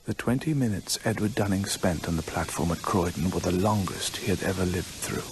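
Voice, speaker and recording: A man plays a British teller of ghost stories in a radio drama. His voice is low and deliberate, built for suspense, with faint noise behind. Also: lightly acted. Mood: suspenseful. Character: measured male teller of a ghost story. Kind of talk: scripted radio drama narration.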